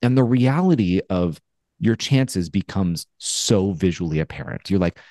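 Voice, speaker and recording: A man in his forties co-hosting a podcast over a video call, telling a story in a casual, animated way and acting out his own thoughts. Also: low voice, a little slow, no echo, clean studio sound. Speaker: podcast co-host. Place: home studio with brick wall. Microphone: headphones and studio mic on a video call.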